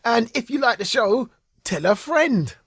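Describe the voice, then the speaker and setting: A man co-hosting a laid-back podcast, jumping between jokes and quoted lines. His voice is slightly husky, and his pitch swings widely. The sound is dry and close. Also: measured pace, dry recording. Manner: lively, laughing, quotes scenes with playful emphasis. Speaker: podcast co-host. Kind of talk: casual chat.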